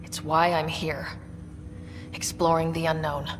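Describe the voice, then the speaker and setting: A woman's voice: deep voice, level tone, a little slow. A young woman playing an earnest, bereaved space explorer in a video game, hesitant and subdued. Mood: uncertain. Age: young adult. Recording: long echoing reverb, faint music.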